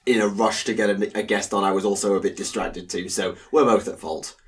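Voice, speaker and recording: A young man co-hosting a casual podcast, talking haltingly with plenty of ums and uhs. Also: lowish voice, fairly even intonation. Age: young adult. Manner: hesitant, lots of ums and uhs. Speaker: podcast co-host. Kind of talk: casual chat.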